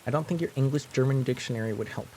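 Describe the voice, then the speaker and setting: A man's voice: mid-range voice, very fast, dark tone, slight background noise, low-quality recording. A young man whose first language is French, chatting casually on a live stream, rambling with lots of fillers and restarts. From French-speaking.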